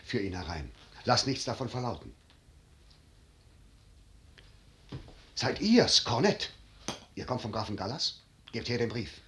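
An actor playing a grave, scheming middle-aged general and father, declaiming solemnly and with measured delivery in a 1950s German radio verse drama. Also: mid-range voice, very animated, a bit bright, a little hiss or hum. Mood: brisk, commanding.

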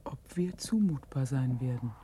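A pensive female survivor in a seventies radio play. She speaks formally and quietly in a dark, mellow voice, with faint noise behind it. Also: extremely low pitch, expressive, moderate pace. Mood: pensive, subdued. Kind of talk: 1970s German radio drama acting. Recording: faintly noisy 1970s radio recording.

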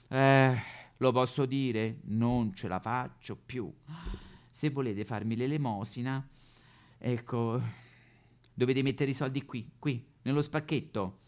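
A man's voice: medium pitch, close to an everyday voice. A man playing an aggrieved, neglected female Madonna statue in a satirical stage monologue, plaintive and melodramatic with a Roman accent. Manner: melodramatic, plaintive, sudden swoops into wailing. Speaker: comic actor.

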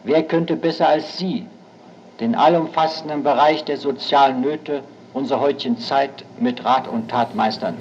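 A man's voice: mid-range voice, moderate pace, lo-fi sound, a little hiss or hum. A man giving a formal, declamatory political speech on an old archive recording.

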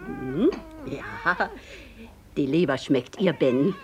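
An actress playing a bickering middle-aged shopkeeper's wife in a radio play, shrill and scolding. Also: female voice, huge pitch swings, extremely slow, full character voice. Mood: teasing. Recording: radio play with audible noise.

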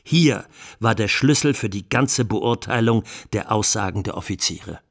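Deliberate, emphatic male defence lawyer. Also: on the low side, creaky, heavy background noise. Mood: pointed, assured. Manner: rhetorical, deliberate, emphatic, with dropped phrase endings. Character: defence lawyer pleading in a 1929 trial. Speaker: defence counsel. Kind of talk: courtroom plea in a radio documentary.

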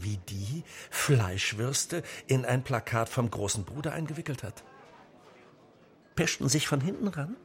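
Eager, blustering buffoon, wildly animated. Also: male voice, medium pitch. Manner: blustering, chummy, chuckling eagerness. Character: jovial, eager, foolish male Party busybody and proud father. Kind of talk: radio drama acting.

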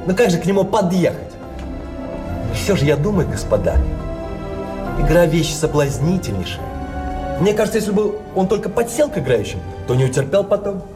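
An actor playing an eager, restless card sharp in a theatrical audio play, speaking in an animated, pleading way. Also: male voice, high-pitched, brisk, long pauses. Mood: scheming. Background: music.

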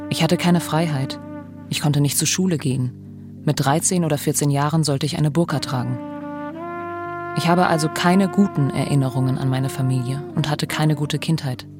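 A woman's voice: low voice, fairly even intonation, normal speed. A woman reading a first-person voice-over translation for a radio documentary. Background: music.

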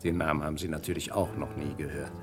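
An actor playing a shrewd, persistent police inspector in a vintage radio crime drama, blunt and probing. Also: male voice, a bass voice, little pitch movement, quick pace. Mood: stern, pressing. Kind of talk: German radio crime play acting, 1950s to 80s.

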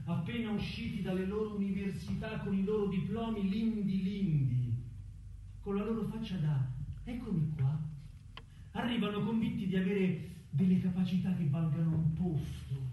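Echoey, high-pitched, cruel recruiter played by a man. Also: dark tone, a little hiss or hum, lightly acted. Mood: contemptuous. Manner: menacing, deliberate, declamatory. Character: cruel, coldly rationalizing recruiter who preys on young jobseekers. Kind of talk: theatrical stage monologue in a radio drama. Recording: echoey, distant, with music behind. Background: music.

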